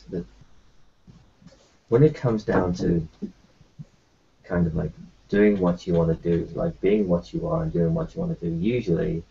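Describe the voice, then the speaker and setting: A man talks in character at a tabletop roleplaying session, circling back and restarting his sentences. His voice is low and gravelly. The recording is muffled and lo-fi, with a faint hiss behind him. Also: dark tone. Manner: rambling, hesitant, many restarts.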